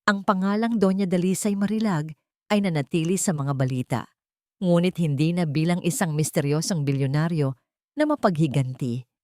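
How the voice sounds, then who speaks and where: Low-pitched woman narrating a dramatic story. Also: normal speed, a little mellow, dry recording, studio quality.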